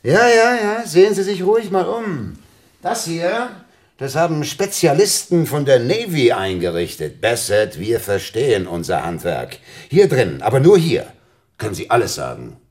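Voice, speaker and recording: An actor playing a pompous, possessive Navy officer in a German radio drama, theatrical, with clipped military bursts. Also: male voice, medium pitch, big pitch swings, moderate pace, darkish tone, natural voice. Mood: brisk bluster.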